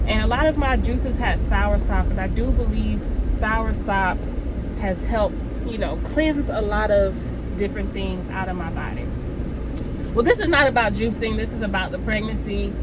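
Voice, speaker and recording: A woman's voice: mid-range voice, moderate pace. A middle-aged woman with a stuffy, congested voice, talking candidly and reflectively in a personal vlog, with many fillers and restarts. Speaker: vlogger. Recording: loud traffic noise behind.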